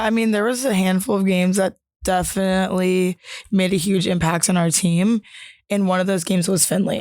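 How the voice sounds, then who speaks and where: A young woman who plays college volleyball, chatting in a relaxed way as co-host of a sports talk show. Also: on the low side, no echo, studio quality. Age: young adult.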